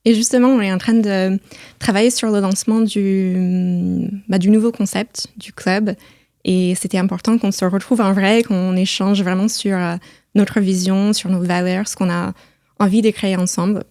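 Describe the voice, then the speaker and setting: A middle-aged woman with an American accent chats casually on a podcast. Her mid-pitched voice has noticeable creak, and it is captured close and dry. She often repeats words as she looks for the next phrase. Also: steady tone, moderate pace, dark tone, dry recording. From United States (Missouri). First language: English. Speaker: podcast host.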